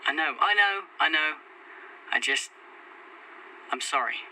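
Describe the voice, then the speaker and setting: A man's voice: a little high, animated, measured pace, bright, forceful tone, full character voice. A man playing an anxious, stubborn, pleading boyfriend in an audio drama, earnest in manner. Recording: slightly noisy entry intercom.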